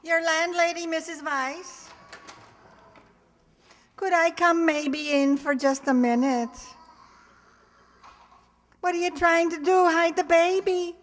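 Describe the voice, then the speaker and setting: A woman's voice: very high-pitched, takes it slowly, pauses often, faint music behind. A woman playing a kindly, meddling immigrant landlady in old-time radio comedy, with wry, inverted Yiddish-style phrasing. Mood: warm, nosy. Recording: echoey hall with long reverb.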